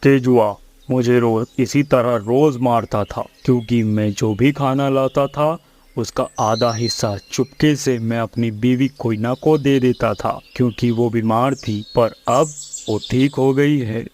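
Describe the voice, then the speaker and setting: A man acts out a plain-spoken forest animal from a storybook cartoon. The voice is medium in pitch and earnest in delivery, over faint background noise. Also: steady tone, unhurried, darkish tone, close to an everyday voice. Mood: sorrowful, earnest.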